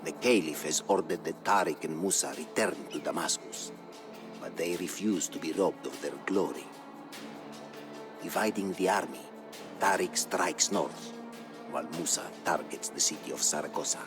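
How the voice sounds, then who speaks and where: An actor playing a proud, solemn Berber chronicler, narrating a video game campaign in a grave, measured, formal manner. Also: male voice, deep voice, lightly acted. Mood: solemn, intent. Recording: studio voice-over with music behind.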